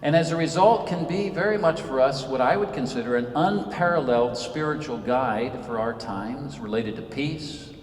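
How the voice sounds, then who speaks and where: 50s male speaker, earnest and measured, mid-pitched, lecturing in a large reverberant hall, heard from a distance, faint background rumble. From Senegal, West Africa. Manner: earnest, measured, emphatic delivery. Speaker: author and speaker. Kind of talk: public lecture. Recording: echoey hall from far off.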